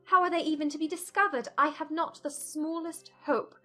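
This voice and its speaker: A woman playing an agitated, self-reproaching young gentlewoman in formal dialogue from a dramatized period audiobook. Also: high-pitched, fairly even intonation, normal speed, soft background music. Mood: distressed, hopeless.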